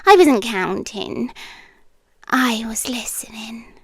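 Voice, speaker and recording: Woman acting a very slow, cooing British yandere girlfriend. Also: on the high side, expressive, no room sound, clean studio sound, exaggerated voice. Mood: sweetly menacing. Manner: cooing, breathy asides that drop into whispers. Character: possessive, doting yandere landlady girlfriend, sweetly menacing. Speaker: ASMR roleplay performer. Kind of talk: ASMR roleplay, slow intimate acting. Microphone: close, dry microphone.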